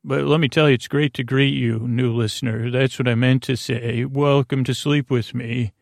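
A man with a creaky voice hosting a bedtime podcast, meandering haltingly and with gentle silliness through a monologue meant to lull listeners to sleep. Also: mid-range voice, fairly even intonation, no room sound, studio quality. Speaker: podcast host.

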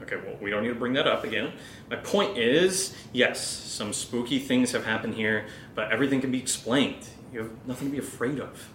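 Guy acting as an anxious young american friend, medium pitch, casual and sweary, some rumble behind. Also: brisk, fairly bright tone, lightly acted, low-quality recording. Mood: reassuring, defensive. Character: anxious young man playing the rational, reassuring friend. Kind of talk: naturalistic audio drama acting.

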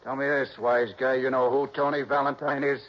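A man's voice: medium pitch, little pitch movement, brisk, natural voice. Menacing crooked cop played by a man, dull recording. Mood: suspicious, gruff. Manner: curt, barking, threatening. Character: menacing, suspicious armed police sergeant, bullying tough guy. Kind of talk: 1960s radio drama acting. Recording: dull, noisy old radio recording.

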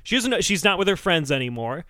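Animated, high-pitched man, bright podcast voice. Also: fast talker, no room sound, studio quality. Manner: sarcastic joking, animated, profane. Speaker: podcast co-host. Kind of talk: casual comedic podcast chat.

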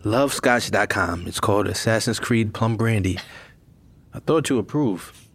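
A man playing an easygoing, joking partner in an audio drama, with a husky voice and a bantering manner. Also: fairly low pitch, unhurried, fairly bright tone. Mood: playful.

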